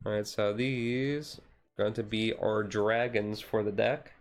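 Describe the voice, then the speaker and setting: Low-voiced man, casual deck commentary. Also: steady tone, slow, soft background music. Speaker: streamer.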